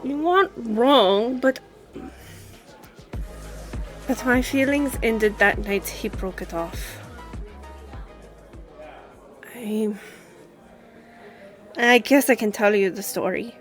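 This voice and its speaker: A woman playing a composed, confident young lawyer and boss in an audio roleplay, with a measured, earnest delivery. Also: fairly high pitch, soft background music. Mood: wistful, hesitant.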